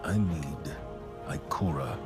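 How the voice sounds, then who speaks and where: A man playing a stern, resolute commander in a game cutscene, speaking with grave, deliberate oratory. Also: extremely low pitch, lively intonation, vocal fry, loud music behind the voice, heavily acted. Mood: rallying. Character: stern, resolute military commander, solemn rallying leader. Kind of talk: scripted video game cutscene acting.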